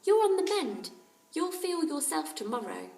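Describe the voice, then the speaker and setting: A woman's voice: sits high, sing-song, a little slow, voice pushed up, heavily acted. A woman voicing a caring, cheerful mother who fusses kindly, in a storybook reading. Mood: reassuring. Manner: solicitous, bright exclamations. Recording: roomy, distant microphone.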